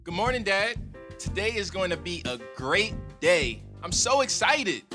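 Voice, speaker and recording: A man's voice: high-pitched, sing-song, a little slow, bright, forceful tone, soft background music, heavily acted. A man playing an eager, cocky young son. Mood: excited. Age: 20s. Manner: upbeat, defiant. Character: eager 22-year-old son, cocky and lovestruck.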